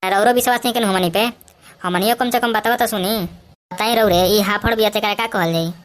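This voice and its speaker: A woman's voice: medium pitch, brisk, a little hiss or hum, natural voice. A woman voicing a cheeky, argumentative schoolboy with a Bhojpuri accent, brash and colloquial, in a cartoon comedy. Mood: indignant protesting. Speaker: voice actor.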